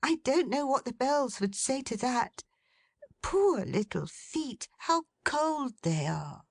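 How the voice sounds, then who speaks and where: A woman's voice: sing-song, takes it slowly, vocal fry, dry recording, exaggerated voice. Woman putting on Meg, a tender fussing young woman. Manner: high, gentle and coaxing.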